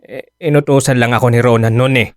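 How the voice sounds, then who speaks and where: A man's voice: mid-range voice, steady tone, quick pace, no echo, studio quality, close to an everyday voice. An actor playing an apologetic, mild-mannered older Filipino father in a radio drama, hesitant and pleading. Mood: grateful, sheepish.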